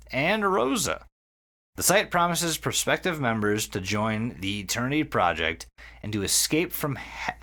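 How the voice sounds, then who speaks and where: A man's voice: mid-range voice, a bit bright. A man hosting a podcast, recapping a story casually and enthusiastically, swearing freely and repeating words. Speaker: podcast host.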